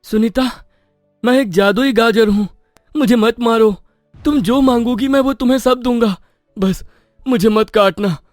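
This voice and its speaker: Man voicing a high-pitched magical talking carrot. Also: a little slow, no room sound, clean studio sound, faint music behind. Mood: earnest bargaining. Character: friendly magical talking carrot, pleading yet generous. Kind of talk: animated moral story acting.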